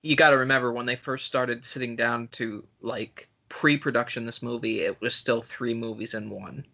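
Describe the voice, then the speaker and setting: A man's voice: medium pitch, steady tone, moderate pace, gravelly, pushed and bright, dull audio. A man co-hosting a podcast, chatting casually about films in an emphatic, profane way. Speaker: podcast co-host.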